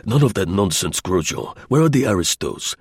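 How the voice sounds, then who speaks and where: A man voicing a gruff, arrogant male government agent who talks roughly and blusters. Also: deep voice, big pitch swings, normal speed, no room sound, clean studio sound, heavily acted.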